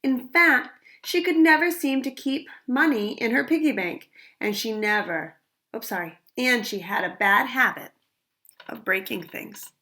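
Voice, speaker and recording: A woman reading a picture book aloud to children, expressive and pausing to ask them questions. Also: fairly high pitch.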